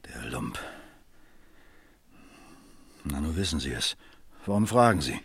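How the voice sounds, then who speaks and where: A man acting an evasive, agitated suspect under police questioning in a radio crime play, with a husky voice. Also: extremely low pitch, big pitch swings, takes it slowly, a bit bright, a little hiss or hum, exaggerated voice. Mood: subdued, wary. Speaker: actor in radio crime drama.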